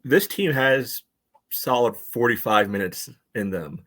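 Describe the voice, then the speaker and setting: An American man co-hosting a casual soccer podcast, breaking down play in a halting way full of verbal tics. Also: mid-range voice, animated, fairly bright tone. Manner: halting, peppered with "you know". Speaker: podcast co-host and soccer supporter. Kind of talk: casual sports analysis chat.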